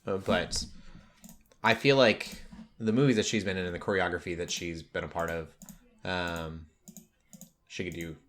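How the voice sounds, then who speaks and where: A man's voice: low-pitched, fairly even intonation, normal speed, long pauses, a bit bright, a little hiss or hum. A man hosting a casual pop culture podcast, talking in a rambling way that drifts into tangents. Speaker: podcast host.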